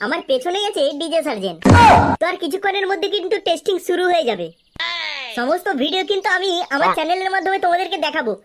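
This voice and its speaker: A woman's voice: very high voice, expressive, quick pace, a crowd in the background. A woman voicing an eager, chatty young vlogger in a cartoon, with a West Bengal accent. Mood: upbeat presenting. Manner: chattering, eager presenter patter.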